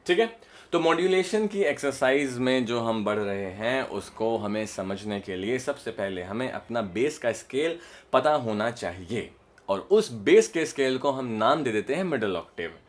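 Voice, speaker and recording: Man, Indian accent, medium pitch, instructional voice-coach style, emphatic, frequent check-ins with the listener. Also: lively intonation, unhurried. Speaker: voice modulation coach. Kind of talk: instructional explanation to camera with demonstrations.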